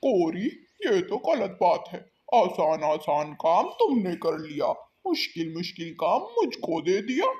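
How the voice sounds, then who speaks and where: A woman's voice: on the low side, big pitch swings, a little slow, few pauses, a little mellow. A woman voicing a lazy, grumbling crow husband in a cartoon, with a petulant drawl. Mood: sulky, lazy. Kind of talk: Hindi cartoon voice acting.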